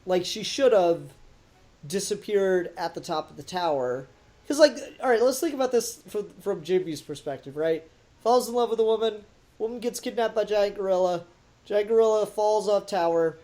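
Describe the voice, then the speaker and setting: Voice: mid-range voice, animated, moderate pace, mellow. Exasperated, emphatic podcast reviewer. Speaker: podcast host reviewing an audio drama. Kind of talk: casual critical review chat.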